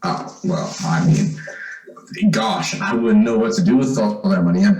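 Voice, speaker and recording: A man's voice: mid-range voice, lively intonation, a little slow, gravelly, over a noisy crowd. A man playing a bashful, awkward teenage son in an old-time radio drama, stammering and hesitant, with boyish slang. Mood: nervous, hesitant. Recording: roomy, echoing stage-like sound.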